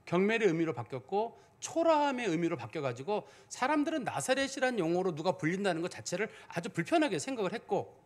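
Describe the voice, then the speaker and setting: A man's voice: sits high, big pitch swings, fast, few pauses. A male pastor giving a sermon, explaining in a conversational way and asking rhetorical questions.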